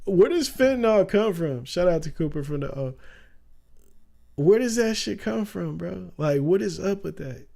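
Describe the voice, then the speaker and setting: Laid-back slangy man, mellow voice. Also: high voice, lively intonation, normal speed. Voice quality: raspy at times. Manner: loose, slangy, laughs often, says "bro" a lot. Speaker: streamer. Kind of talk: casual livestream commentary.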